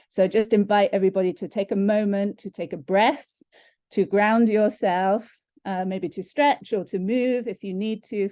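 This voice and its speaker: Woman moderator on a video call, warm gentle delivery, many ums, dry close sound. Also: medium pitch, no echo. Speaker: session moderator. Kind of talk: online conference welcome. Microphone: computer mic on video call.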